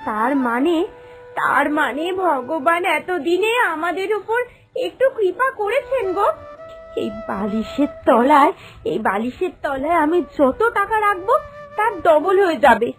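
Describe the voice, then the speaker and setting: A melodramatic young cartoon wife, played by a woman, extremely high in pitch and swinging widely. She is emphatic, plaintive and bossy, repeating phrases for effect, over faint music. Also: sing-song, a little slow. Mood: amazed, overjoyed. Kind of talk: dramatic cartoon voice acting.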